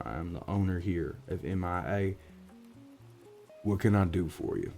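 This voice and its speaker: A man voicing a gruff, world-weary orc private investigator in a soft-spoken roleplay, with a folksy, wry drawl. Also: deep voice. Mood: businesslike. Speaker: voice actor in roleplay audio.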